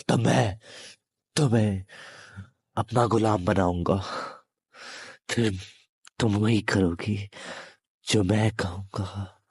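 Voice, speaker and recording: A man playing a menacing, possessive demon in an intimate audio roleplay, taunting the listener in a halting delivery with long pauses. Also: fairly low pitch, darkish tone, breathy edge, no echo, clean studio sound, heavily acted. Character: menacing, possessive male demon, captive turning predator.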